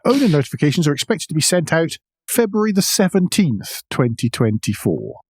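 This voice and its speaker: A man's voice: fairly high pitch, big pitch swings, brisk, darkish tone, husky, no room sound, clean studio sound. A man co-hosting a motoring news podcast, moving between read-out items and conversational, wry asides. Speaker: podcast co-host. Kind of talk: conversational car news discussion, partly read out.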